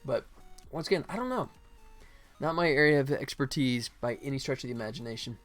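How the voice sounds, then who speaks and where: A man's voice: mid-range voice, expressive, fairly quick, fairly bright tone, faint music behind. A man live streaming as a game developer, chatting casually with viewers and muttering to himself as he works. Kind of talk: casual live stream chat while working.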